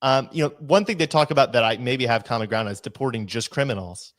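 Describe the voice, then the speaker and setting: A young man in his early twenties speaks as an interview guest, his voice sitting at an ordinary middle pitch. He argues earnestly and methodically, building his point through examples. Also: fast talker. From United States. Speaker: interview guest, party committee member. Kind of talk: conversational political argument.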